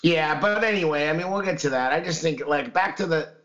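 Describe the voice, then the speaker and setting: A man with a hoarse, scratchy voice after losing it, co-hosting a casual chat show and rambling with many restarts. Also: fairly high pitch, brisk, a bit bright, dull audio. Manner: rambling, lots of fillers and restarts. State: hoarse, recovering lost voice. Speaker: podcast co-host.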